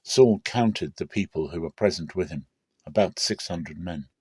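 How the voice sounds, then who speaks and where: A man narrates scripture in a low voice with a dry, close sound. His delivery is measured and deliberate, shaped as a dramatic reading. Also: dark tone, husky, no echo. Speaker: Bible reader.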